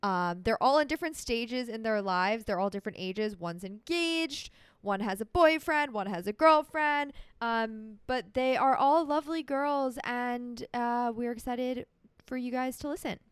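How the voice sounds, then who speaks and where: A woman's voice: a little high, measured pace, clean tone, no echo. Upbeat young woman hosting, dry sound. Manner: upbeat, chatty introductions. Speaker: podcast host. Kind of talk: casual interview chat.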